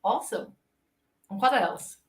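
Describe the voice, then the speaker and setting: A woman's voice: medium pitch, animated, measured pace. A woman with an Irish accent hosting a casual interview on a video call, thinking aloud and affirming as she goes. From Ireland. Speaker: interview host. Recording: roomy, echoey sound.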